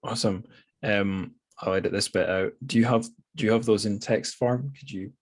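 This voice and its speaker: Low-pitched man hosting a casual podcast. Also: steady tone, normal speed, slightly rough, dry recording. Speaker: podcast host. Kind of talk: casual literary interview.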